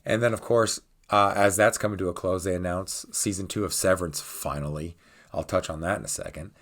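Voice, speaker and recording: A very deep-voiced middle-aged man, still raspy as he gets over a cold. He hosts his own channel and rants casually, rambling and filling pauses with ums and uhs. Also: fairly even intonation, fairly bright tone. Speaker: channel host. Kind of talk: casual vlog rant.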